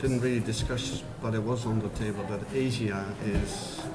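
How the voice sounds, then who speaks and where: A man's voice: lowish voice, steady tone, moderate pace. A man speaking directly and emphatically at a roundtable discussion. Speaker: roundtable participant. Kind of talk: casual discussion.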